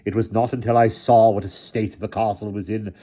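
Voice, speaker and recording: A man's voice: on the low side, lively intonation, a little slow, warm tone, voice pushed up. A man voicing a bold, stern aunt in a storybook, speaking firmly and declaiming as if to a mob. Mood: firm, insistent. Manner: firm, declamatory.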